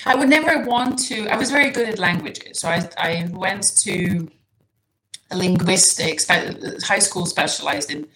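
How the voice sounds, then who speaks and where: A woman from Serbia whose native language is Serbian, a podcast guest speaking in a casual interview, soft-spoken and hesitant, restarting often and laughing lightly. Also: mid-range voice, slight background noise, low-quality recording. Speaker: guest, nutrition coach.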